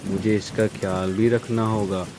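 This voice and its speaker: A man's voice: fairly low pitch, little pitch movement, unhurried, natural voice. A man voicing a brotherly but self-serving crow in a cartoon story, conversational, with rain noise behind him. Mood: calculating. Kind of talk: dramatized Hindi children's cartoon story.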